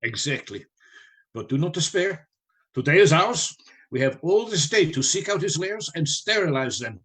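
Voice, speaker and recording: Male actor as learned professor, radio play, slightly high male voice, solemn, authoritative, resolute vampire hunter. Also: lively intonation, a bit bright. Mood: grave, resolute. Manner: grave, measured, authoritative.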